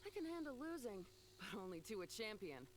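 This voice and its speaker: A woman's voice: medium pitch, sing-song, a little fast, background noise, natural voice. A woman voicing a proud, good-humored beast competitor in a video game, with an emphatic, sporting manner. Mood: rueful, sporting. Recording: game music under the voice. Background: game music.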